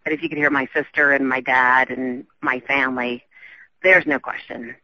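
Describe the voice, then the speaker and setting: A woman from the American South with a softened Southern accent, an entertainment reporter chatting as a guest in a radio interview, upbeat and full of fillers. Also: extremely low pitch, fairly even intonation, normal speed, no room sound, muffled recording. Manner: chatty, upbeat, laughs often, many fillers.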